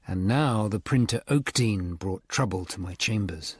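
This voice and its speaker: An actor playing an earnest, educated Tudor lawyer-detective in a radio drama, speaking in a measured, formal way. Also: male voice, on the low side, breathy edge, dry recording, studio quality. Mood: grave, tense narration. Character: sober, educated Tudor lawyer-detective narrating his investigation. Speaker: narrator and lead character. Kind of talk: radio drama narration and dialogue.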